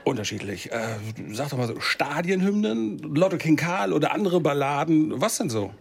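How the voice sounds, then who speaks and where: A man's voice: a little high, sing-song, moderate pace, gravelly, husky, a faint crowd, full character voice. A man playing a chatty TV show host in a comedy mock-documentary, conversational and probing, with many fillers. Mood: prodding, flustered. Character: chatty male TV show host and football fan.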